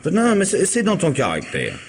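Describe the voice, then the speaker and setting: An actor playing a suave, middle-aged lawyer in a French radio mystery, wry, urbane and unruffled. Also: male voice, high voice, huge pitch swings, normal speed, husky, heavily acted. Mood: wry, teasing. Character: suave, ironic middle-aged male lawyer, coolly self-possessed.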